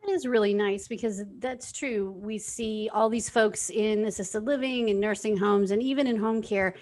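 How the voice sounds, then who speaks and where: A woman's voice: medium pitch, fairly even intonation, moderate pace, darkish tone. An American woman in a mid-range voice who hosts a podcast. She chats easily and effusively, with a self-deprecating streak. The interview sounds like it runs over a remote video call. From United States. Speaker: podcast host. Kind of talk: friendly interview hosting.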